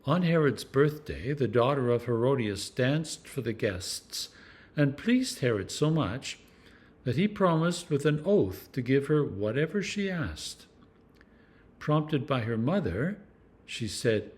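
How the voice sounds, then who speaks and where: A man reading scripture aloud as a clergyman, in an expressive, measured storytelling manner. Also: medium pitch. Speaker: clergyman reading scripture for a church meditation series.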